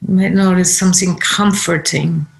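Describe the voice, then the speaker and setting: A woman leading a guided meditation, giving gentle instructions in short phrases with pauses. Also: lowish voice, steady tone, gravelly. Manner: unhurried, pausing between short phrases.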